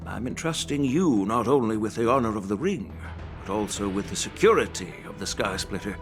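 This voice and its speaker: An actor playing a measured, shrewd old grandfather who is a general in a fantasy video game, speaking formally and with authority. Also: lowish voice, lively intonation, faint music behind. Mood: kindly persuasive. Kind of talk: dramatic video game voice acting.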